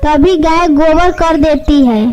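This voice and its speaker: A woman's voice: sits high, slow, loud music behind the voice. A woman reading scripted narration for a children's cartoon story.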